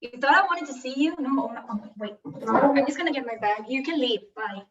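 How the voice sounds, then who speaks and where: A woman's voice: high-pitched, lively intonation, brisk, over a noisy crowd, voice pushed up. A woman playing a flustered, dismissive traveller in improvised theatre. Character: flustered, dismissive woman arriving to an unwanted greeting. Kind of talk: improvised acting. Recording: dull lo-fi video call audio.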